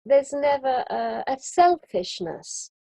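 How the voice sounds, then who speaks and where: A woman from Europe with a husky, soft-edged voice that sits a little high and sounds mellow rather than bright. She talks earnestly and expressively in a casual spiritual chat, laughing now and then. The sound is dry and close, as on a video call. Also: big pitch swings, takes it slowly, no room sound. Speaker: summit guest.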